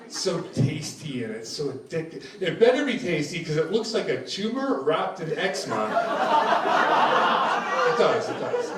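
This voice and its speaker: A man's voice: fairly high pitch, big pitch swings, normal speed. A man with an American accent tinged with Scottish, doing a brash, profane stand-up set and bantering with the crowd. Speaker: stand-up comedian. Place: comedy club stage. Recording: echoey room, distant mic, audience laughter. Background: crowd laughter.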